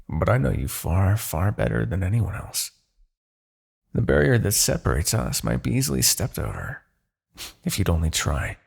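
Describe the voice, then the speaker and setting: Demon king played by a man: low, husky, courtly and possessive, with dramatically swooping delivery for fantasy ASMR roleplay. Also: full character voice. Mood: calm, menacing. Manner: haughty, theatrical, formal diction.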